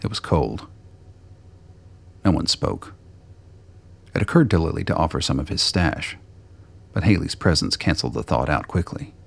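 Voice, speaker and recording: A man reading scripted narration for a radio play in a deep, gravelly voice. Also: expressive, normal speed, long pauses.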